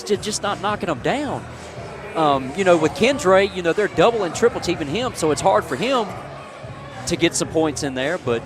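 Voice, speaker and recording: A man giving casual, bantering color commentary on a live basketball broadcast. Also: sits high. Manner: loose, folksy, bantering. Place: high school gymnasium.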